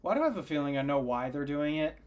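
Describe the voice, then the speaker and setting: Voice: mid-range voice, expressive, fast talker. Teasing podcast co-host who laughs a lot. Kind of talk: casual tech chat.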